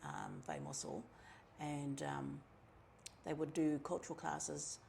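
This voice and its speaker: A middle-aged woman with a New Zealand accent tells her story in a low, gravelly voice. She talks in a relaxed, chatty way, full of ums and quoted asides. A faint hiss of noise sits under her voice. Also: slow. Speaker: community member telling her story. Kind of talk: casual personal storytelling.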